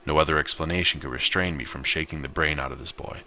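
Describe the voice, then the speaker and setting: A man's voice: rumbling low, fast talker, pushed and bright, a little hiss or hum. A man reading a visual novel aloud and giving casual commentary as he plays. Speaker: video game player and narrator.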